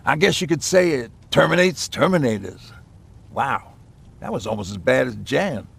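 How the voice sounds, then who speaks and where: Gravelly, easygoing resistance mechanic. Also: male voice, mid-range voice, lively intonation, moderate pace, a bit bright, heavily acted. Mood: wry, friendly. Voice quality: gravelly, husky. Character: easygoing resistance mechanic, wry and friendly tinkerer. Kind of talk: video game voice acting.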